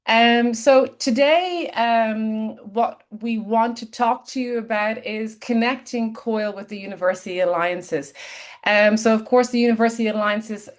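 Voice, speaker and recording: An Irish woman, a lecturer and researcher, presenting a keynote over a video call, explaining at length with many ums. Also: medium pitch. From Ireland. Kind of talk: webinar presentation.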